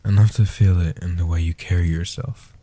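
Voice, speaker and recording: Man voicing a deep, husky, gentle vampire guardian. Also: fairly even intonation. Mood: gentle, reassuring. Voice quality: soft-spoken, husky. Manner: slow, hushed delivery with long pauses. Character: centuries-old male guardian vampire, gentle, protective, patient. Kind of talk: soft-spoken comfort roleplay audio.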